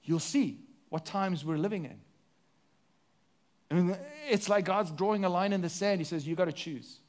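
A man with a South African accent preaching a sermon to his congregation, asking the room questions and swinging between emphatic and hushed delivery. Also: high voice, moderate pace. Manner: preaching with sweeping rises and drops, rhetorical questions. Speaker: pastor.

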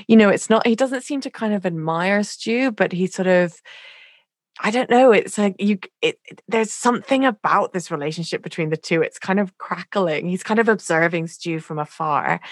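A woman's voice: mid-range voice, dry recording, clean studio sound. A woman co-hosting a book podcast, talking in a casual, hesitant way with many fillers and restarts. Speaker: podcast co-host. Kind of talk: casual literary discussion.

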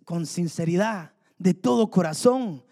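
A man's voice: high-pitched, unhurried, mellow. A man preaching a sermon, fervently asking the congregation rhetorical questions. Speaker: pastor. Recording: long hall reverb.

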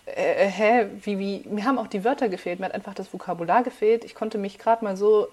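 Young woman, Farsi native, podcast guest, animated storytelling, casual, many fillers. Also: mid-range voice, a little fast. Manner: animated, laughs while telling stories, many fillers.

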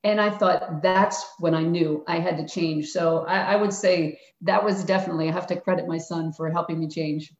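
A woman's voice: fairly low pitch, steady tone, normal speed, few pauses, a little mellow. A woman, a retired basketball coach answering interview questions frankly and plainly. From Philadelphia. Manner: frank, plainspoken, acts out quotes of herself and players. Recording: echoey, dull-sounding room.

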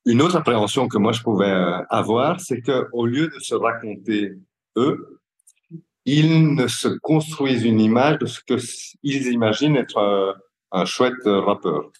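A man's voice: medium pitch, expressive, unhurried, rough recording. A man with a Belgian French accent reflecting in an interview as a care worker, explaining hesitantly and searching for his words.

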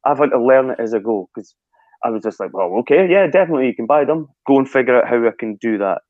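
A Scottish man, a guest telling lively stories in a casual interview and acting out his own past thoughts. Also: medium pitch, fast, no room sound, phone-quality sound. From Scotland.

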